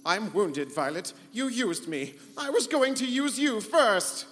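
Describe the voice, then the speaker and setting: A man's voice: high voice, expressive, unhurried. A man playing a pompous, scheming, morality-free ship's computer in a live comedic sci-fi radio play. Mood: wounded, accusing. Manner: pompous, theatrical, formal wording. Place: convention hall stage before live audience. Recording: echoey live hall, humming background noise.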